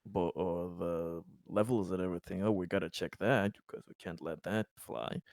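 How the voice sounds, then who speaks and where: Deep voiced guy playing a tabletop rpg, casual chat, super dry sound. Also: steady tone, normal speed, darkish tone, dry recording. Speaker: tabletop game player.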